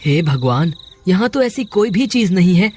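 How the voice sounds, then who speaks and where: A man's voice: sits high, very animated, moderate pace, a little mellow, soft background music. A man playing anxious, earnest village brothers in a cartoon horror story, speaking in a dramatic, exclaiming way. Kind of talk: Hindi cartoon story acting.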